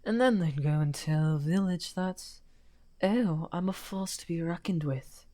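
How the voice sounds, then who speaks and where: A man playing a misunderstood, weary villain wizard in an ASMR fantasy roleplay, amused and softly menacing, talking teasingly and half in whispers. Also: fairly high pitch, unhurried, lightly acted. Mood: playful, defensive.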